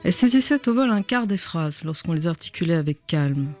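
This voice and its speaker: A woman's voice: lowish voice, expressive, fairly quick, darkish tone, faint music behind. A woman novelist whose first language was Chinese, speaking in a radio studio interview, reflective and searching for words. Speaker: novelist guest.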